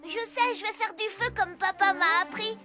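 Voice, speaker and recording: An actress playing a brave, reassuring small child in a cartoon, with a protective manner. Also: female voice, extremely high pitch, moderate pace, over music. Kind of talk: animated cartoon acting in French.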